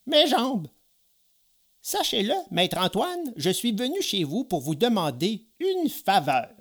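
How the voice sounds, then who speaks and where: A storyteller voicing a touchy, excitable little old man in a children's storybook tale, quick to indignation. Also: mid-range voice, voice pushed up, full character voice. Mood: sprightly, eager. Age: older. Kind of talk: storybook character voice.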